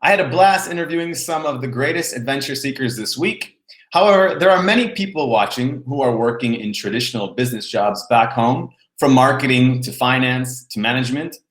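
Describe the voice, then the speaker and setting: A man's voice: medium pitch, a bit bright. Man hosting, in an echoey room. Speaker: show host. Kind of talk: video interview show hosting. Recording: roomy, echoing sound.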